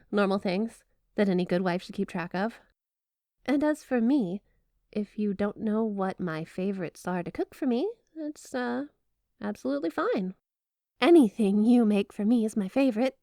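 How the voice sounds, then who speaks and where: A woman's voice: medium pitch, lively intonation, moderate pace, dark tone, no room sound, studio quality, natural voice. A woman playing a flirty, obsessive yandere snow spirit wife in an ASMR roleplay, gushing, coy and sweetly doting. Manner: gushing, coy, playfully suggestive. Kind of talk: ASMR roleplay addressed to the listener.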